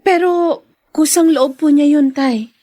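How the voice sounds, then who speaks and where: A woman's voice: sits high, slow, mellow. A woman acting a young, respectful but anxious daughter in a Filipino radio drama. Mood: hesitant, reassuring. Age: young adult.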